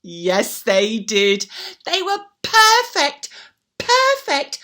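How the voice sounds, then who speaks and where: A woman's voice: high-pitched, wildly swooping pitch, very slow, pushed and bright. A woman telling a story to young children in a theatrical, playful way, repeating words and addressing the listener.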